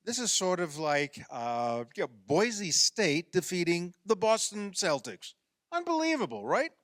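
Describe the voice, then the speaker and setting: A man's voice: sits high, sing-song, a little slow, fairly bright tone, dry recording, studio quality, exaggerated voice. A man playing a sardonic husband with wounded pride in a comedic radio play, sarcastic and dramatic. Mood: mock disbelief. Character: sardonic husband, sore loser at backyard basketball.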